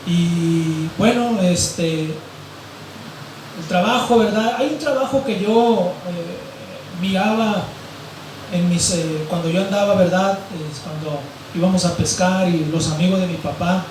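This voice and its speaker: A hoarse man from Mazatlán, preaching a sermon and often stopping with "eh" and "¿verdad?". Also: high voice, slow pace, darkish tone, a little hiss or hum. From Mexico, grew up in Mazatlán. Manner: rambling, fills with "eh" and "¿verdad?". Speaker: pastor. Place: large echoey church hall. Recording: very echoey hall from far off.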